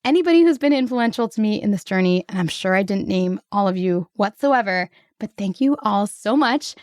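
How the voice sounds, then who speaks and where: A woman hosting her own podcast solo, slightly hoarse, talking in an upbeat, grateful, chatty way. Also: mid-range voice, expressive, a little fast, darkish tone, dry recording, studio quality. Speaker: podcast host.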